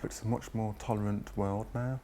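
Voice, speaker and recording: A man's voice: on the low side, little pitch movement, moderate pace, warm tone, a little hiss or hum. A man in his forties recalling his own past in an interview, earnest and reflective. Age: 40s. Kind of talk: personal recollection in an interview.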